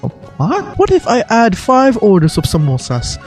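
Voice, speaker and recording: A performer voicing a gracious, protective female game guardian with a breathy British accent and a polite, reassuring manner. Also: mid-range voice, wildly swooping pitch, moderate pace, faint music behind, voice pushed up. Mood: polite, concerned.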